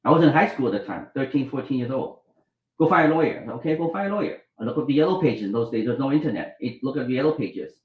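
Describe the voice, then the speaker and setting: A man's voice: mid-range voice, animated, quick pace, reverberant, phone-quality sound. Rambling storyteller man from Vietnam. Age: middle-aged. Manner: rambling, restarts, acts out quoted dialogue. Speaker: guest, doctor and author. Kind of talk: storytelling interview.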